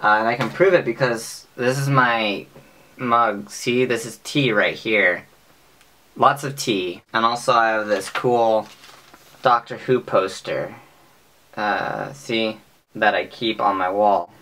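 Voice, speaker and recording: A young man with an American accent talking casually to camera in a deadpan, mock-serious, sweary comic monologue, recorded in a small bedroom. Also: fairly low pitch, slow pace, slight background noise. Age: young adult. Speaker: vlogger. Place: small bedroom with bunk bed, indoors.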